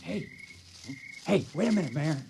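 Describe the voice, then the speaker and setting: A man's voice: on the high side, expressive, normal speed, vocal fry, music in the background. An actor playing a timid village man who hesitates and stammers in a 1950s radio drama. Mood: earnest. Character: timid young village man made town criminal. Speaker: town criminal.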